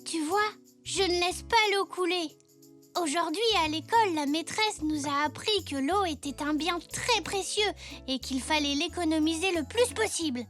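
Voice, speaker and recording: A performer voicing an earnest, excitable little boy zebra in a children's cartoon, slightly bossy. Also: extremely high pitch, normal speed, faint music behind. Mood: playful, earnest. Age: child character. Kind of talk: French children's cartoon dialogue.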